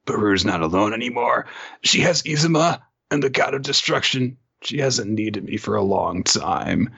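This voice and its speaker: A man's voice: lowish voice, lively intonation, normal speed, gravelly, fairly bright tone, husky, dull audio, pitched above the performer's own voice, heavily acted. A man playing an earnest, lovelorn young man with a dramatic delivery. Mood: dejected.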